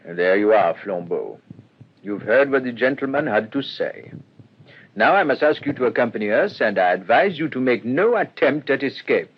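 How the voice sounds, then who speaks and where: An actor playing a commanding, courteous French police inspector in a radio drama, formal and authoritative. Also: male voice, medium pitch, lively intonation, normal speed, breathy edge. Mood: firm, formal. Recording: dull lo-fi radio recording.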